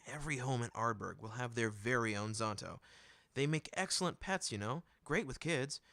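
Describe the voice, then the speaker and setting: Man acting a jovial big merchant, bright voice. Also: mid-range voice, dry recording. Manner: jovial, joking, teasing. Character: big easygoing islander man, wry monster merchant.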